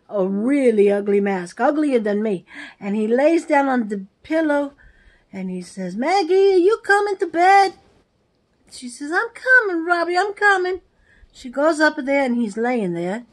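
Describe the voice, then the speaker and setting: An older woman with a put-on Italian-American accent, telling rambling family stories straight to her viewers. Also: a little high. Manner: chatty, digressive, talks straight to viewers. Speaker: vlogger, family storyteller.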